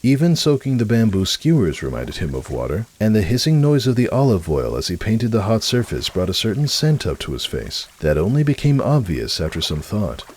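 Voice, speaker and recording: A male narrator gives a dramatic story reading in a very low, husky voice. His delivery is expressive, with lively rises and falls in pitch. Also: a little fast, hardly any pauses. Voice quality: deep, husky.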